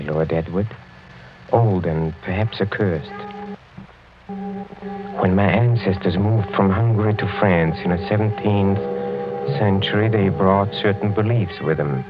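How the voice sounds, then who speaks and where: A sinister aristocratic count in an old radio thriller. His low voice is courtly and measured with amused menace, on a dull vintage recording with faint music. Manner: suave, measured, chuckling menace. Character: sinister, courtly middle-aged Hungarian-descended count, suavely amused. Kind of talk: 1940s radio mystery acting. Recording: muffled 1940s radio recording.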